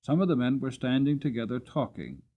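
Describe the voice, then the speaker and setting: Expressive male audiobook storyteller. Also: mid-range voice, fairly quick, narrow, tinny audio. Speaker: audiobook narrator. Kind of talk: dramatic scripted storytelling.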